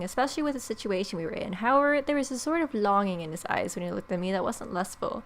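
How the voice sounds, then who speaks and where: A young adult woman reading a visual novel aloud as a let's play host, with playful, wry asides. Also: medium pitch.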